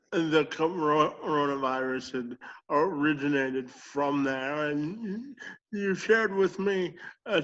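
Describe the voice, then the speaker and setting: Slow, halting man on dull call audio. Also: a little high, fairly bright tone. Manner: halting, many fillers. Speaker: interview host. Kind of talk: interview questions. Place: home room, indoors. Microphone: headset on video call.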